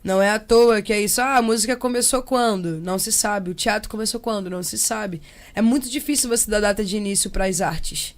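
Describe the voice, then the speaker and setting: A young Brazilian woman speaking casually and reflectively as an interview guest, expressive and slangy, slipping into imagined quotes. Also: medium pitch, a little fast, hardly any pauses. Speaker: interview guest, percussionist and samba singer.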